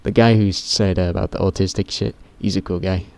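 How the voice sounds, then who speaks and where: Teenage boy, crazy deep voice, gravelly fry, rambling vlog chat, cuts himself off. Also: fairly even intonation, a little fast, darkish tone. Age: teens. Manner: rambling, self-interrupting, swears casually. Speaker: YouTuber. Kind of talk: casual rambling vlog monologue.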